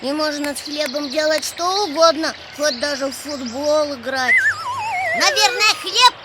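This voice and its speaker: A woman's voice: high voice, expressive, a little slow, background noise. A woman voicing a playful, naive little boy kitten in a children's cartoon, childlike and eager. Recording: loud music behind the voice.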